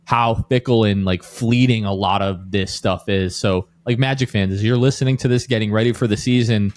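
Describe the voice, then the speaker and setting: A man's voice: on the low side, fairly even intonation, moderate pace, darkish tone. A man hosting a sports podcast in casual, informal chat, often dropping "like" into his sentences. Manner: informal, peppers talk with "like". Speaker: podcast host.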